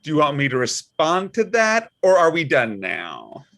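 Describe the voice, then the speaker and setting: A man's voice: a little high, huge pitch swings, moderate pace, fairly bright tone, heavily acted. A man playing a blunt, stubborn, unbothered father of a political candidate in a comedic table read. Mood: unbothered, needling.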